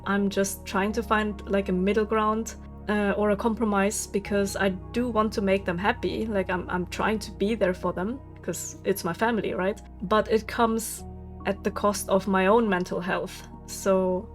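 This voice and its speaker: A woman, talking straight to her viewers in a casual vlog. She vents openly, swears freely and breaks into laughs, at a middle pitch, with soft music playing underneath. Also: fairly even intonation, measured pace. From Germany. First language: German. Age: 30s. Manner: candid, venting, swears freely, laughs often. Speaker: vlogger.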